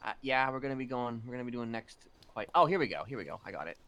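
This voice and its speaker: A man's voice: mid-range voice, racing. A man chatting casually in character during a roleplay, hesitant and full of fillers. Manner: animated, hesitant, filler-heavy.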